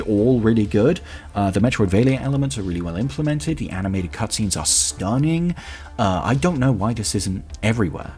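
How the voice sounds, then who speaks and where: Male podcast host, low register, keen and emphatic reviewer, music faintly underneath. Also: darkish tone. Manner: enthusiastic, emphatic. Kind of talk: conversational countdown review of favourite games.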